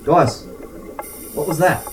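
A man's voice: a little high, steady tone, slow, recorded from a distance, background noise. A man playing a wary, commanding leader of a diving team in an old-style radio drama. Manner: commanding, businesslike. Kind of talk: 1930s-40s style radio drama acting.

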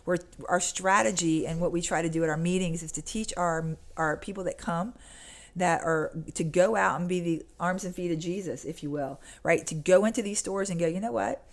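A woman's voice: fairly low pitch, a little fast. An American woman speaking as an activist guest in a conversational interview, earnest and insistent, acting out little exchanges as she goes. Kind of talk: conversational interview talk with acted-out anecdotes.

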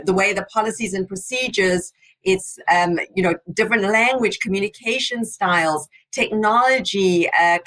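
South African woman host moderating a panel, bright forward voice. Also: medium pitch, rough recording.

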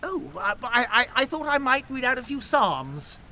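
An actor playing a rambling, apologetic village vicar in an audio sitcom, hesitant and stammering. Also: male voice, very high-pitched, very animated, moderate pace, pushed and bright, slight background noise, full character voice. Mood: flustered, dithering.

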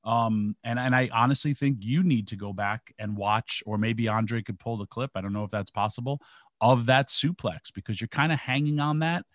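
A man co-hosting a wrestling talk show with a monotone voice, stammering restarts as he argues his point. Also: mid-range voice, moderate pace, dry recording. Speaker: co-host. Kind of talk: casual sports talk.

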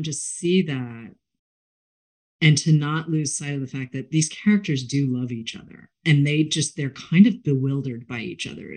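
A woman hosting a book interview, chatting enthusiastically in an effusive, expressive and rambling way. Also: low voice. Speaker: interviewer, book show host.

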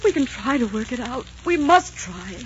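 A woman's voice: on the high side, big pitch swings, normal speed. An actress playing a passionate, desperate young Queen of Scots in a melodramatic, imploring 1940s radio romance style. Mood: hurt, insistent. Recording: dull, noisy 1940s radio recording.

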